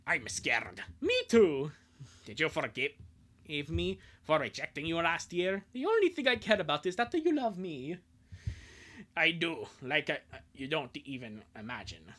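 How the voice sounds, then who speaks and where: A man acts out a young cartoon sweetheart, earnest and devoted. The voice is fairly high and very bright. The lines come out as melodramatic, pleading confessions of love. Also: sing-song, a little slow, heavily acted. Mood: anxious tender.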